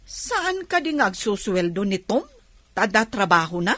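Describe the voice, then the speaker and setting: An actress playing a nosy, mocking Ilocano auntie who wheedles in a radio comedy drama. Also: female voice, mid-range voice, sing-song, a little slow, fairly bright tone, no echo. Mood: wheedling. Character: nosy, scornful auntie who mooches money from relatives.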